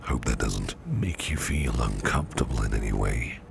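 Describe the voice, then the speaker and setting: A man playing a caring, courteous businessman boss in an ASMR roleplay, speaking in a soft, soothing, half-whispered murmur. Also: very deep voice, moderate pace, creaky, noisy background, full character voice. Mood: tender, soothing. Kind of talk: ASMR personal attention roleplay.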